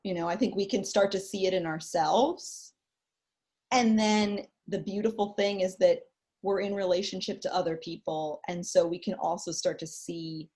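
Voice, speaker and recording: A woman therapist leading an online webinar over a video call, telling stories and talking in a chatty way with plenty of fillers. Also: on the low side. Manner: chatty, animated storytelling with lots of fillers. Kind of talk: webinar talk.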